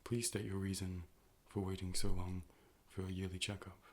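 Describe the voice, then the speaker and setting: A man playing a calm, polite robotic AI optician in an ASMR role play, speaking in a measured, formal, deadpan way. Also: deep voice. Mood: calm, clinical. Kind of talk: ASMR medical role play, personal attention.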